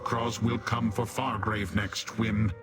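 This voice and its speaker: An actor playing a grim, defiant warrior leader in a video game, with a stern, forceful manner. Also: male voice, lowish voice, little pitch movement, slow, loud music behind the voice.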